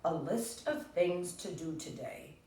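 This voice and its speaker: A woman voicing an earnest, orderly male toad in a storybook, speaking in deliberate statements. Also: lowish voice, expressive, mellow. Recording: echoey room, distant mic, faint noise.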